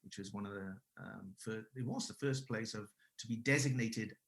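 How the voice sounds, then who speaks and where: A man's voice: on the low side, moderate pace, gravelly, a bit bright. A man in his forties from Wales, a guest telling his career story casually, with frequent restarts and self-corrections. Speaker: guest, English school owner.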